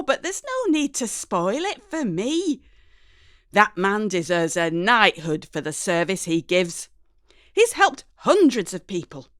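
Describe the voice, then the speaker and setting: A woman's voice: lowish voice, dry recording, studio quality. A woman playing a colloquial, defensive mother of a teenager in an audio story. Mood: indignant, scolding. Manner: shouting, scolding, colloquial.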